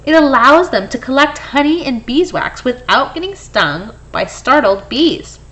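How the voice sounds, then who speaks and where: A woman reading a children's picture book aloud in an expressive way, with brief explanatory asides for young listeners. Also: sits high, a little slow. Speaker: storybook reader.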